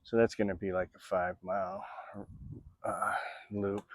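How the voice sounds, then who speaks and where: Rambling sixties man vlogging from his bike, low-pitched, dry close sound. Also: flat delivery, a little slow, no echo. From Florida, USA. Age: 60s. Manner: rambling, chatty, with many fillers. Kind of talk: casual vlog narration while riding an e-bike. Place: outdoors on a bike trail.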